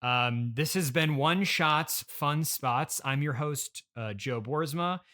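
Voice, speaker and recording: A man's voice: fairly high pitch, takes it slowly, pushed and bright. A man hosting an improvised comedy interview as the exasperated straight man, stopping and restarting as he talks. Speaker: podcast host.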